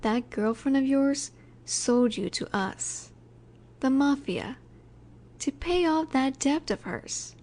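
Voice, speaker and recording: Soft, mellow, high-voiced female mafia boss acted by a woman. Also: lively intonation, exaggerated voice. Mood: calm, warning. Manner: soft, unhurried, soothing yet in command. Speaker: audio roleplay voice actor. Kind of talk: intimate scripted audio roleplay, addressed to listener.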